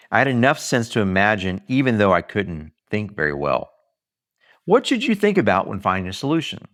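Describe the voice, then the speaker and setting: A man's voice: fairly low pitch, fairly bright tone. Man narrating with big swooping emphasis. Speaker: author reading own book. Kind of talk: audiobook-style scripted narration.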